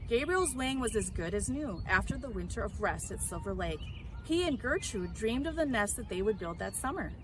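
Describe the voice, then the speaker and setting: Expressive woman reading aloud, noisy recording. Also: mid-range voice, normal speed. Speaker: story reader. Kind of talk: children's storybook read-aloud. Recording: clear background noise.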